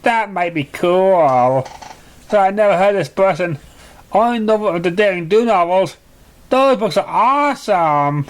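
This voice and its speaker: A man's voice: sits high, animated, unhurried, voice pushed up. A man voicing a young female pony, a casual and eager schoolkid in a storybook.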